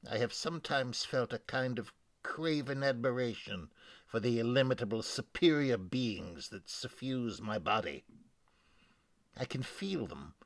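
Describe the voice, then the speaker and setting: A man's voice: lowish voice, a bit bright, no room sound, exaggerated voice. A man playing a weary, grave scholar being changed by machine parasites, formal and brooding in a dramatic game lore reading.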